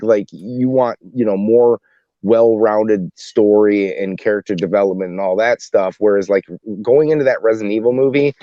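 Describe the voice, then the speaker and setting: Mellow man, halting casual chat, dull audio. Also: mid-range voice, no room sound. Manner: halting, rambling, rephrases repeatedly. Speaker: podcast panelist. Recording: dull lo-fi dry voice track.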